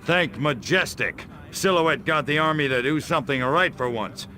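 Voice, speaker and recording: Hard-boiled, sarcastic government agent. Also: male voice, fairly high pitch, animated, normal speed, bright, forceful tone, slight background noise. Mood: commanding. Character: gruff, sarcastic male government agent, defiant. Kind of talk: video game cutscene acting. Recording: music behind the voice.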